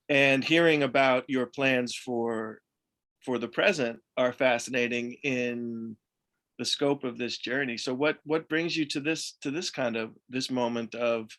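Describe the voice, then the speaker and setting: A man's voice: mid-range voice, fairly even intonation, takes it slowly, a bit bright. Male interviewer, friendly, hesitant, lots of fillers. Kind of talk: relaxed conversational interview.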